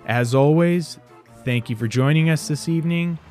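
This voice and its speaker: A man's voice: fairly high pitch, moderate pace, darkish tone. A man hosting a podcast in a scripted, playfully theatrical narrating style. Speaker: podcast host. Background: music behind the voice.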